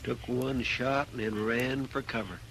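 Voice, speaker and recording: An actor playing a loyal, anxious Old West deputy with a rural American accent and earnest, deferential manner in 1950s radio drama. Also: male voice, mid-range voice, steady tone, unhurried, a bit bright, slight background noise, low-quality recording. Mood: earnest, uneasy. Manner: folksy, deferential, earnest drawl. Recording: vintage radio recording with music.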